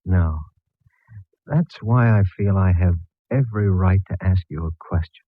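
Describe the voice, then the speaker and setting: A thin, band-limited vintage radio recording, very dry, of a male police inspector from a 1940s detective drama. His voice sits on the low side, and he talks in a laconic, teasing way. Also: measured pace, dry recording, phone-quality sound. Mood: slow, teasing.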